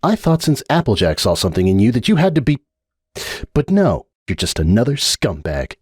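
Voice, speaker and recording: A man's voice: mid-range voice, huge pitch swings, normal speed, a little mellow, slightly rough, no echo, clean studio sound, voice pushed up. A man voicing an earnest, bookish unicorn stallion wizard in a fan-story reading, speaking with indignant reproach. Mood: scornful.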